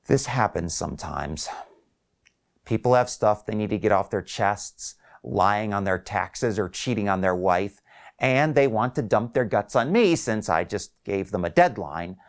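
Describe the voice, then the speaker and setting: A man's voice: lowish voice, expressive, a little slow, gravelly. A man reading his own short story as a scripted first-person narration.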